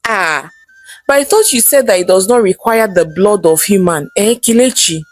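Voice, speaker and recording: A woman with a Nigerian accent voicing an anxious, persuasive husband in a folktale, pleading with drawn-out words. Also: medium pitch, animated, no room sound, faint music behind, lightly acted. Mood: afraid, despairing.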